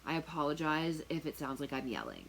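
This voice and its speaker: A woman talking casually to camera as a tarot reader, chatty and rambling with lots of fillers, with a loud, projecting voice. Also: deep voice, wildly swooping pitch, brisk, gravelly, slight background noise, low-quality recording. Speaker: tarot reader and remote viewer. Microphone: noise-canceling earphones on.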